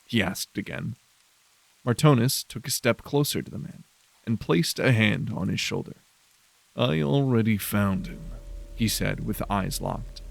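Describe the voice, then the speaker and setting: A man's voice: lowish voice, normal speed, gravelly, breathy edge. A man narrating scripted horror fiction in a dramatic storytelling style.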